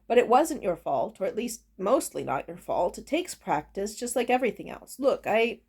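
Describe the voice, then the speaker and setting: A woman's voice: mid-range voice, sing-song, normal speed, a little mellow, breathy edge. A woman voicing a kindly, awkward male castle master who speaks hesitantly and reassuringly in a fantasy story. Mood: flustered, reassuring.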